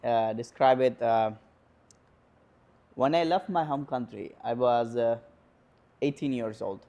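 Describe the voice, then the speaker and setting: Casting: twenties guy, Indian accent, gravelly, mellow, halting interview answers. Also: medium pitch. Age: 20s. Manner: hesitant, many pauses and fillers. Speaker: interview guest. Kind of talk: casual sit-down interview.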